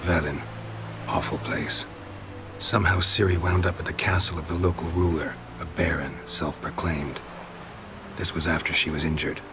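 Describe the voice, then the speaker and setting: Very deep, terse monster hunter. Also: male voice, moderate pace. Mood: grave, matter-of-fact. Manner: terse, clipped, deadpan. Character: gruff, weary monster hunter, terse and dry. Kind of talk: video game cutscene acting. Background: music.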